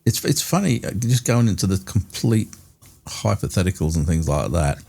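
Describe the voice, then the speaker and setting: A man with a low, mellow voice hosts a podcast. He chats casually and muses aloud in a friendly, easygoing tone. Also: lively intonation, normal speed, vocal fry. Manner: chatty, musing, friendly. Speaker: podcast host.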